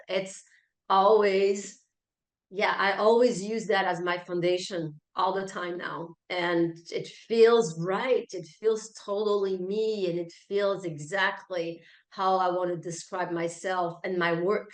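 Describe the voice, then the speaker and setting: A woman's voice: medium pitch, steady tone, a little slow. A woman from France with a French accent, a native French speaker, answering interview questions in an emphatic, self-assured conversational way. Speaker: interview guest, keynote speaker, coach and author. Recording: roomy, echoey sound.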